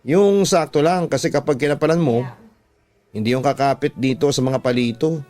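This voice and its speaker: A man voicing a clever high school girl in a radio drama, patient and helpful as she explains. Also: moderate pace, a little mellow, lightly acted. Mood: confident. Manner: patient, explaining, slightly hesitant. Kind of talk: radio drama character voice within narration. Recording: music bed under the voice.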